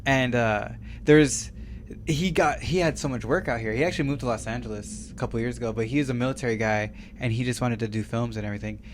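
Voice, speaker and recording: A man's voice: mid-range voice, fast talker, a little hiss or hum. A young man co-hosting a podcast, chatting casually and swearing freely, recorded on a studio mic in a small room. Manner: laid-back, swears freely, storytelling. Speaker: podcast co-host. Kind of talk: casual chat. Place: small room with wood-panel wall. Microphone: studio mic on stand, headphones.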